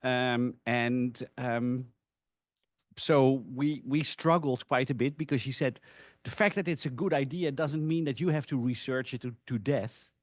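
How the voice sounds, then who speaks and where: A man who is a non-native English speaker, giving an informal academic presentation in an animated storytelling manner with rhetorical asides. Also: mid-range voice, unhurried, dry recording. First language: not English (English is a third language).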